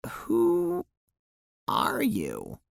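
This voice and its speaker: A woman's voice: high voice, huge pitch swings, very slow, creaky, no echo, studio quality, exaggerated voice. A woman playing a polite, awkward young witch girl in an audio drama, with a hesitant manner. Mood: bewildered.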